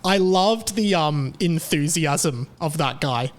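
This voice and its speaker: A man's voice: on the high side, animated, slow pace. A young man hosting his own podcast, chatting casually with sarcasm and frequent laughter. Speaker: podcast host. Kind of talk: casual solo chat.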